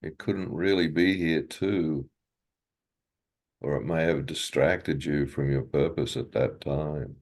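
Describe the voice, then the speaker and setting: Very deep, slow-speaking male meditation guide. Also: fairly even intonation. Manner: gentle, unhurried, with long pauses.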